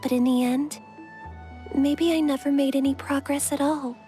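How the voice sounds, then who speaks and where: Woman acting a high-voiced young warrior haunted by fear. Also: steady tone, moderate pace, dark tone, faint music behind, lightly acted. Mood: subdued, relieved. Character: brave young woman warrior haunted by childhood fears, protective. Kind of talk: dramatic video game voice acting.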